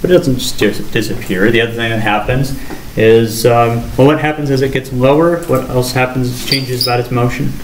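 A man's voice: medium pitch, steady tone, moderate pace. A young man teaching a physics lesson at a whiteboard, questioning students as he gestures and explains. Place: classroom with whiteboard, indoors. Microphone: far camera across the room. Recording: echoey classroom, faint noise.

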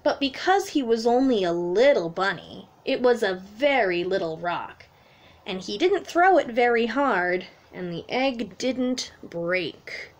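High-voiced woman reading slowly to children. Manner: expressive, simple explanations addressed to young children. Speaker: children's music teacher. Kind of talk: children's storytime read-aloud. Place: small room at home, indoors.

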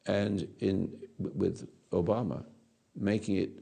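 A man in his eighties giving a rambling philosophical talk to an audience on a lapel mic, halting and repeating his words. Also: low voice, fairly even intonation, vocal fry, warm tone, slightly rough. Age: 80s. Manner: gestures with hands while talking. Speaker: speaker answering audience. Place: dark stage or studio.

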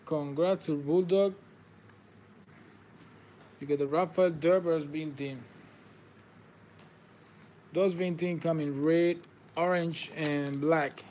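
A man's voice: on the high side, fairly even intonation, slow, pauses often, darkish tone, a little hiss or hum. A man, whose first language is Spanish, hosts a live trading card break, calling out players and congratulating viewers in slightly non-native phrasing. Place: indoor table with card boxes.

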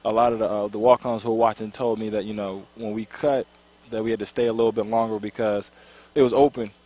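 A man's voice: fairly low pitch, fairly even intonation, fast, warm tone. A young man in his twenties, a college basketball player on a headset mic at a courtside table in an arena, talking after a game with frequent ums. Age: 20s. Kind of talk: postgame interview.

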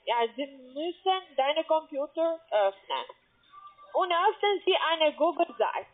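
A woman speaks in a high voice over a thin, phone-like line. She is a non-native German speaker with a foreign accent. Her sales talk is halting and circles back on the same phrases. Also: expressive. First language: not German. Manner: halting, repetitive, broken grammar. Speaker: call center telemarketer. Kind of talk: scripted phone sales pitch. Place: call center, on the phone. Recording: muffled, tinny phone line.

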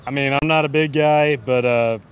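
A man's voice: medium pitch, fairly even intonation, moderate pace. A man in his thirties, a professional angler, answering outdoors in a blunt, dismissive way. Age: 30s. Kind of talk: interview answers. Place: outdoors by boats. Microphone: handheld mic held by interviewer. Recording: faint outdoor noise.

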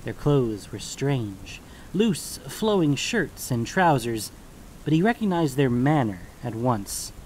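Male fantasy narrator, medium pitch. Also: sing-song, slow, a little mellow, slight background noise, rough recording. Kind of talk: scripted fantasy audiobook narration.

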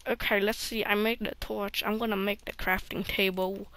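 A woman's voice: mid-range voice, flat delivery, normal speed, a bit bright, dry recording. A woman hosting a game playthrough, rambling and often dropping to a mumble. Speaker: let's play host. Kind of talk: gameplay commentary.

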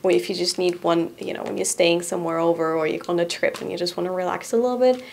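A young woman hosting a casual product review to camera in a small studio, bubbly and giggly, sometimes easing into a softer, relaxed tone. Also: lowish voice, fast talker, vocal fry, a little hiss or hum. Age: young adult. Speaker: host presenting shop items. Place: small indoor studio room. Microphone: boom-arm studio mic in front.

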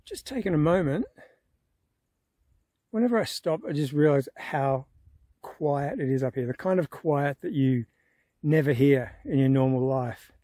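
A man, talking to his camera in a relaxed, awed way as he hikes an open alpine trail, filmed at arm's length. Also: a little high, unhurried, no room sound. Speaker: hiker vlogger. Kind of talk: casual on-the-go vlog narration. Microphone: phone camera held at arm's length.

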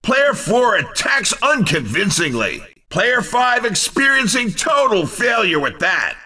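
A man's voice: sits high, sing-song, unhurried, sharp tone, far from the mic, exaggerated voice. An actor playing an exuberant, cheeky male race commentator in a video game, calling the action in punchy, wisecracking exclamations. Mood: excited, wisecracking.

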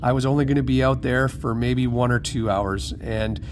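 A man in his thirties, a fishing charter captain, giving relaxed voice-over tips with lots of fillers and restarts. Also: medium pitch, moderate pace, a little hiss or hum. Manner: easygoing, rambling with many fillers and restarts.

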